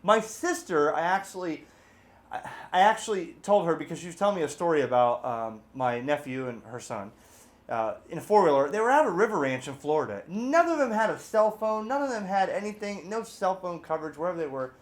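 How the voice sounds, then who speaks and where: A man with a voice a little higher than most is presenting a product in a loose, unscripted way. He is chatty and informal, rambling into animated asides and leaning hard on the points he wants to make. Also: fairly quick. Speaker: video host, radio hobbyist reviewer. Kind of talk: casual off-the-cuff product review.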